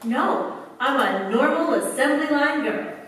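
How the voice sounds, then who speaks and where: A woman's voice: sits high, expressive, unhurried, fairly bright tone. Echoey, earnest young factory woman, played by an actress. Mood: candid. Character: earnest, flustered young American factory worker woman, chatty. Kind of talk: staged 1940s-style radio play acting. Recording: echoey hall, distant stage mic, faint noise.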